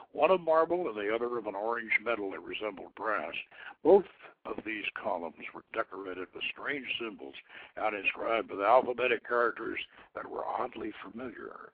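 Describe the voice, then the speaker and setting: A man reading a legend aloud as scripted narration, in a measured, dramatic storytelling manner. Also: lowish voice, slight background noise. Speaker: host reading his own novelette. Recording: lo-fi, phone-like internet radio.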